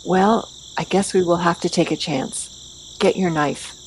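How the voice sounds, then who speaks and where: Confident female raid leader, acted by a woman: deep for a woman, matter-of-fact, faint noise behind. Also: measured pace, natural voice, low-quality recording. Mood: watchful. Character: confident, calculating female vigilante leading a stealth raid. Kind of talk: amateur audio play acting.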